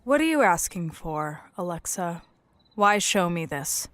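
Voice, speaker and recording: Frank, lowish-voiced human negotiator. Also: female voice, very animated, a little slow, a bit bright, no echo. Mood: wry, forgiving. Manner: matter-of-fact, candid. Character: frank, guarded human negotiator, principled and wry. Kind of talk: audio drama acting.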